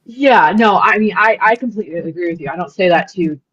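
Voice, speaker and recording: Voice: mid-range voice, fairly quick, fairly bright tone, breathy edge, no echo. A teacher speaking emphatically in a casual conversation, often agreeing. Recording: dull, dry lo-fi audio.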